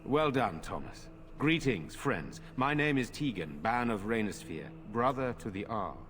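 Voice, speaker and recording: A man's voice: fairly low pitch, very animated, a bit bright, slight background noise. A man doing a stately noble lord. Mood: formal. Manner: formal, measured. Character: noble male lord, formal and commanding.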